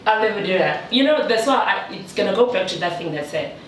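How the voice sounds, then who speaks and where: A woman's voice: mid-range voice, quick pace. South African woman in an echoey room. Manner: lively, laughs often, animated when joking. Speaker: guest, teacher in China. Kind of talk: casual interview chat. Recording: echoey room, distant mic, faint noise.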